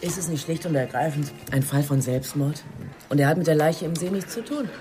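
An actress playing a sceptical, forthright police detective in a German radio drama, with a pointed, questioning manner. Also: female voice, low-pitched, brisk, music in the background, close to an everyday voice. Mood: sceptical, insistent.

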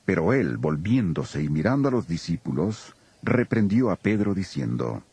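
Deep, gravelly, expressive male Bible narrator. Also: normal speed, no room sound. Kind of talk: scripted dramatized Bible narration. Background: soft music.

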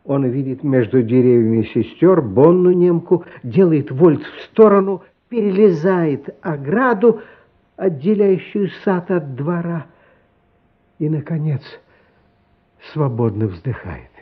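A man narrating a literary radio play as a solemn, compassionate storyteller, expressive and measured, with dramatic pauses. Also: on the high side, husky, exaggerated voice. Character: solemn, compassionate storyteller of a boy's inner life. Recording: slightly dull, lo-fi radio recording. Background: music.

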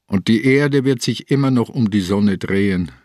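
An actor playing a weary, ailing old police inspector in a radio play, thinking aloud in a grave, resigned, halting way. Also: male voice, fairly low pitch, darkish tone, dry recording, studio quality, close to an everyday voice. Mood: bleak resignation. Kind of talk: radio play inner monologue.

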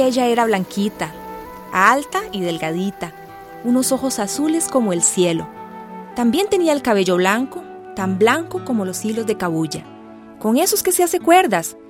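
A woman's voice: medium pitch, close to an everyday voice. A woman narrating a radio drama as a grown villager fondly remembering childhood, tender and reflective. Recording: music behind the voice.

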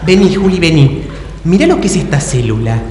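Man playing an excitable, gossipy young Argentine woman, echoey hall. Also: fairly high pitch, a little fast. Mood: excited, conspiratorial. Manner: chatty, effusive, teasing. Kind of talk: improv theatre comedy. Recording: echoey hall with audience, off-mic.

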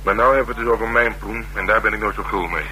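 An actor playing a gruff, streetwise police detective in a Dutch radio drama, talking in a dry, wry and slangy way. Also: male voice, on the low side, fairly even intonation, rapid-fire, pushed and bright. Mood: wry, matter-of-fact. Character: male police detective, gruff, streetwise, worldly, asking a favour. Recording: noisy radio drama recording.

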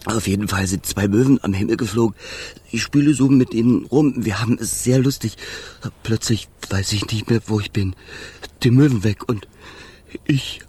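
An actor in a children's radio play voicing the Wind as a frozen, weary, timid wanderer, halting and plaintive with sighing, breathy speech. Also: male voice, lowish voice, mellow. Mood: wistful. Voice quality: breathy, husky.

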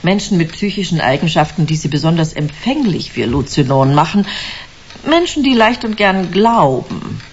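Smug suave lady exec in a german sci-fi radio play, deep for a woman, dull hissy recording. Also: very animated, normal speed. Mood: smooth, lecturing. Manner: suave, theatrical, savouring her words. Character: smug, commanding female corporate director selling a drug. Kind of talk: German sci-fi crime radio drama acting.

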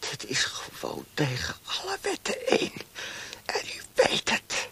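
A man playing a tortured prisoner in a radio play, frightened and desperate, with halting, pleading, anguished speech. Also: a little high, wildly swooping pitch, sharp tone, breathy edge, in a whisper, full character voice. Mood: frightened, hushed.

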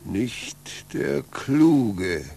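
Knarrend tiefer, eifernder Inquisitor. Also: male voice, mid-range voice, animated, vocal fry, mellow, exaggerated voice. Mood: insinuating. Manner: rhetorical, deliberate, emphatic. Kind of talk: 1940s German radio play acting. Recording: old 1940s radio recording, faint noise.

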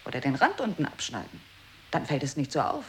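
A grim kitchen woman in a radio play. Her voice sits a little low but swings widely, and her statements land clipped and deadpan. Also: fairly quick, a little hiss or hum. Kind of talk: 1980s radio play acting.

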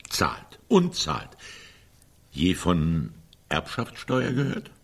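Sarcastic middle-aged older brother, radio play, slow delivery, animated intonation, dry, pragmatic. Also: male voice, sits low, vocal fry, slightly rough, heavily acted. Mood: dry, lecturing. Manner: dry, sarcastic, emphatic repetitions. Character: pragmatic, sardonic older brother, a business consultant. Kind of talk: German radio play acting.

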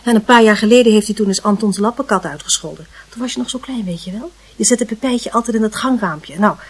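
A woman playing a reassuring, slightly prim mother in a radio play, explaining things hesitantly with a slightly husky voice. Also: medium pitch, fast talker, close to an everyday voice. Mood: confiding.

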